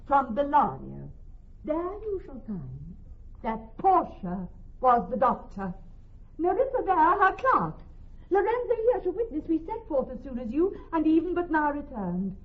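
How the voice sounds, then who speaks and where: Posh poised shakespeare lady, high swooping voice, bossy and witty, dull old radio sound. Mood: poised assurance. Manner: poised, theatrical, articulate. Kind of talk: classical Shakespeare radio acting.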